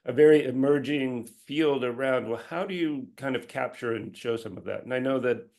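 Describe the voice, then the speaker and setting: A man with an average-pitched voice responds to comments in an online academic discussion. He is conversational and informal, restarting phrases and dropping in quoted thoughts. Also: normal speed, creaky, darkish tone. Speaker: presenter answering comments. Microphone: video call.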